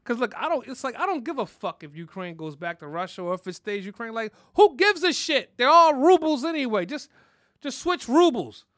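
High bright-voiced guy ranting and swearing on a podcast, dry close mic. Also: very animated, a little fast, no echo. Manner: loud, profane, rambling. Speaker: podcast host. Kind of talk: profane casual podcast rant.

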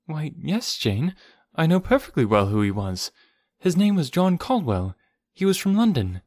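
A man voicing a concerned young woman in an audiobook, gentle and earnest as she explains things to a friend. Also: a little high, very animated, normal speed, no room sound, clean studio sound, voice pushed up. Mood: puzzled, constrained. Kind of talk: audiobook character voice.

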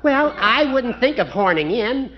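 Genteel fussy old judge, prim, dull lo-fi old radio. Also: male voice, extremely high pitch, very animated, a little slow, full character voice. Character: fussy older male town judge. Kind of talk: 1940s radio acting.

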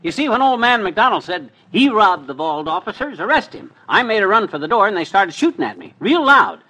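A blustering husband from a fifties radio comedy. His fairly high voice swings widely up and down as he sputters and grumbles in folksy slang, always ready to get indignant. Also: very animated, a little fast, bright, forceful tone, full character voice, poor audio. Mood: dismissive. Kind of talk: 1950s radio comedy acting.

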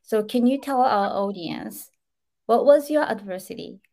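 A woman's voice: fairly high pitch, animated, unhurried, mellow. A woman hosting a podcast interview, opening the show with formal, scripted introductions. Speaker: podcast host.

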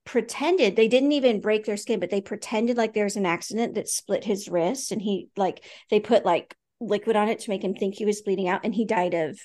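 A woman's voice: mid-range voice, fairly quick, few pauses, a little mellow. A woman co-hosting a faith podcast in casual conversation, earnest and storytelling, with fillers and restarts. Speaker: podcast co-host.

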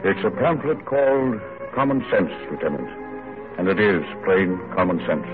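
Animated, earnest Revolutionary War general. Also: male voice, low-pitched, moderate pace. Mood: earnest, resolute. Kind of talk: old-time radio drama acting. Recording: tinny old radio broadcast, music behind.

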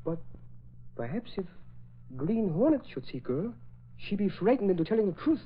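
An actor playing a faithful, shrewd valet who speaks deferential, clipped broken English in a 1940s radio drama. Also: male voice, sits high, big pitch swings, moderate pace, breathy edge, exaggerated voice. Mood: shrewd, suggesting. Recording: muffled, tinny old radio broadcast.

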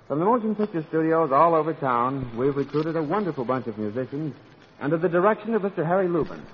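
A man with a fairly high voice hosts a scripted forties radio variety show. The sound is dull, with some background hiss from the old recording. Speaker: master of ceremonies.

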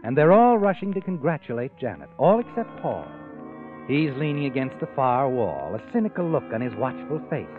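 A man's voice: mid-range voice, very animated, normal speed, close to an everyday voice. A man playing a sinister, all-knowing suspense narrator who taunts and insinuates in second person in an old radio drama. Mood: sly, taunting. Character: sinister, knowing male narrator addressing the heroine. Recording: old narrow-band radio recording. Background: dramatic music with brass.

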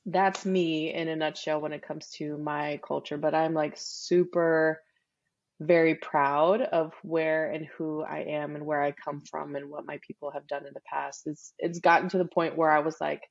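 A woman in her forties from Texas chats freely as a podcast guest, laughing often and trailing into fillers. Her voice sits low with a gravelly fry. The recording sounds slightly dull. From Texas, USA. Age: 40s. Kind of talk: casual interview chat.

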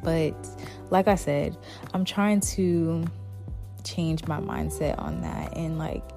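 A soft-spoken young woman hosting her podcast, chatting casually and rambling with lots of "like" fillers. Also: deep voice, takes it slowly, over music. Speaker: podcast host. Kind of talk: casual solo chat.

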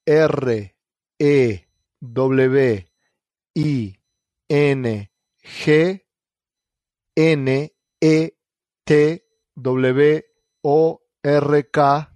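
A man's voice: fairly high pitch, a little mellow, dry recording. A man from Argentina with an Argentine Spanish accent, co-hosting a casual podcast chat with many fillers and restarts. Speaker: podcast co-host.

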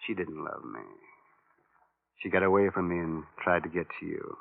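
An actor playing a bitter, cornered American killer and cheating husband in a 1950s radio melodrama, with a volatile, taunting way of talking. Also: male voice, low-pitched, normal speed, creaky. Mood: bitter confession. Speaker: actor in a radio crime drama. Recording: tinny old radio broadcast recording.

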